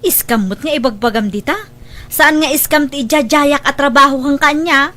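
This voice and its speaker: A pushy village woman, played by an actress with a high voice. She is coaxing and insistent, fretful about money, in a comic audio drama. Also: normal speed, bright, forceful tone. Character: pushy, money-anxious village woman recruiting relatives into a scheme. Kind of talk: scripted audio comedy drama dialogue.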